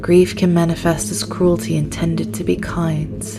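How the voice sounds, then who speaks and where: A woman playing a calm, sorrowful survivor of spiritual warfare, speaking in a quiet, measured way in a gothic audio drama. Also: lowish voice, gravelly, music in the background. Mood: solemn.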